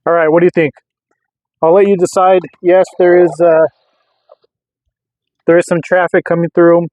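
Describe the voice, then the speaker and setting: A man casually rambling through a hobby product test in a hesitant, halting way, often repeating words. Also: high voice, level tone, darkish tone, dry recording. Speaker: radio hobbyist vlogger. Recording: narrow, phone-like, lo-fi sound.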